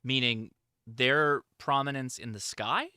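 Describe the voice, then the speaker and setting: A man's voice: medium pitch, measured pace, sharp tone, smooth, dry recording, clean studio sound. A man hosting a livestream, answering chat questions in a casual, informal, enthusiastic hobbyist way.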